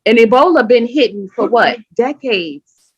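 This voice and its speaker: Animated, higher-voiced woman telling her story. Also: unhurried, slightly rough, dry recording. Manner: animated, emphatic, acts out quoted speech. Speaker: podcast guest. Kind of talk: personal storytelling in conversation. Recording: dull lo-fi sound.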